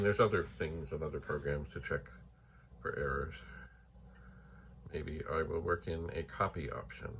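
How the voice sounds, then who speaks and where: A soft-spoken man casually thinking aloud while testing old computer gear in his home computer room, trailing into whispered asides. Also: very deep voice, a little hiss or hum. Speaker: retro computer vlogger. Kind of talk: casual rambling narration while testing hardware. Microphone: phone mic.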